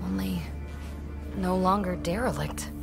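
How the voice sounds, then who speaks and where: Low-voiced young female space explorer. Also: very animated, measured pace, music in the background. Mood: wary. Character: young female space explorer, wry, determined team leader. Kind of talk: scripted game dialogue.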